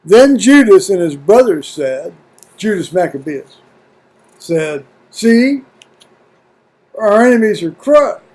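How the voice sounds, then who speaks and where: An American man video blogging at home in a halting, folksy, rambling way, muttering to himself and reading to viewers. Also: high voice, big pitch swings, a little mellow. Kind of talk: rambling casual chat with scripture reading. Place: at home, indoors.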